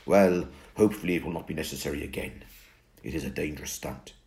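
A man voicing an ancient, weary male vampire mentor who talks slowly and formally. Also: rumbling low, darkish tone. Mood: dry. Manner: slow, formal, dry.

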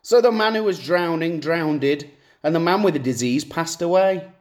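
A poetry reader reciting comic verse with a lively, expressive delivery. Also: mid-range voice, normal speed.

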